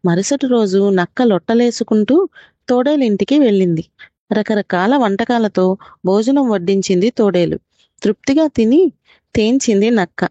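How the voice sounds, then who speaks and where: Mellow-voiced woman narrating a children's story. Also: medium pitch, lively intonation, moderate pace, dry recording, dull audio.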